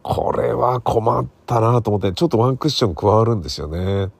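A middle-aged man with a low, creaky voice and a husky edge chats alone as a podcast host. He rambles conversationally, softly checking in with the listener as he goes. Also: a little fast, no echo. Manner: rambling, conversational, seeking agreement with soft sentence endings. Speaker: podcast host and radio drama writer. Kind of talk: casual solo podcast monologue. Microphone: smartphone recording.

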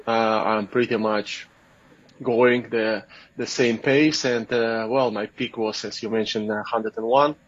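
A man from Russia with a Russian accent, giving interview answers haltingly as he searches for his words. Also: on the low side, little pitch movement, highs cut off. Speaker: guest, kettlebell athlete and coach. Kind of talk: interview answers, casual talk.

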